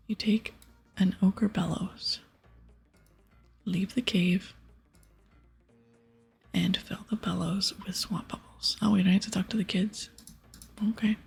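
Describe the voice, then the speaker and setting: A woman hosting an ASMR game playthrough in a breathy whisper, narrating and reading dialogue aloud close to the microphone. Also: medium pitch, steady tone, slow, long pauses, darkish tone, soft background music. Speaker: ASMR gaming host.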